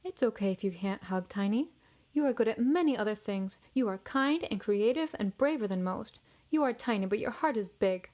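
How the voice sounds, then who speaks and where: A woman voicing a gentle mother T. rex, tender and consoling, in a children's storybook. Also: mid-range voice, expressive, normal speed, lightly acted.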